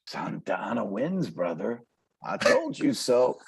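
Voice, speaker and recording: A man playing a brash, streetwise poetic performer with a gravelly, husky voice, swaggering and declamatory in a table read. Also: lowish voice, very animated, a little slow, exaggerated voice. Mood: playful, cocky. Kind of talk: dramatic table read, slang and verse. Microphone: video call. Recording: video call.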